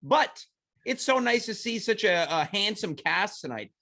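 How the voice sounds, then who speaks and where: High-voiced joking male talk show host. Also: sing-song, measured pace, bright, forceful tone. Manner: playful, rambling, self-mocking jokes. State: congested with a cold. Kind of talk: casual comedic chat.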